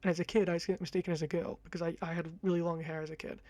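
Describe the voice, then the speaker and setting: A young man in his twenties with a high-pitched voice, rambling casually to camera in a chatty, self-deprecating ASMR talk on a close mic. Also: flat delivery, rapid-fire, a little mellow, dry recording. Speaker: ASMR creator. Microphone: close condenser mic.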